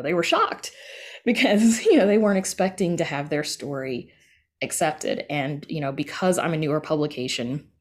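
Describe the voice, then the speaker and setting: A woman with a low-pitched voice speaks as a guest in a casual interview. She explains things in a chatty way, often dropping in small conversational asides as she goes. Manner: chatty, frequent "you know" asides. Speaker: interview guest, podcast creator and story editor.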